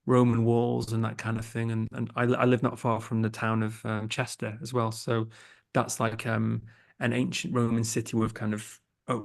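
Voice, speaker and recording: Gravelly young English man, hesitant. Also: mid-range voice. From England. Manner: hesitant, many fillers and restarts. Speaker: podcast producer guest. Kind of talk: casual interview chat.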